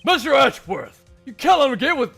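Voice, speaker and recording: A man voicing a loud, excitable, loyal police detective in a video game dub, blustering and eager. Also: very high voice, a little fast, creaky, faint music behind, voice pushed up, exaggerated voice. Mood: alarmed, eager.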